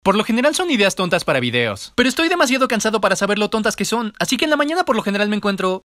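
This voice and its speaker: A man narrating an animated storytime video in an expressive, comedic and self-deprecating way. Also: high-pitched, rapid-fire, no echo, clean studio sound.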